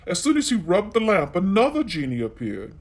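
A man narrates a children's storybook. His voice sits a little high and moves up and down in a lively, animated way, as a storyteller does for small listeners. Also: brisk, husky.

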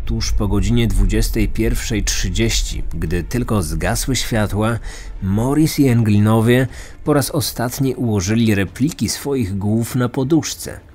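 A man's voice: fairly low pitch, darkish tone, husky. Male true crime narrator over music. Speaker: podcast host and narrator.

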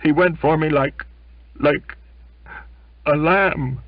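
A man's voice: on the low side, huge pitch swings, slow pace, no echo, muffled recording, pitched above the performer's own voice, heavily acted. A man voicing a drunk, tearful sailor who stammers and sobs in a maudlin tone. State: drunk, crying.

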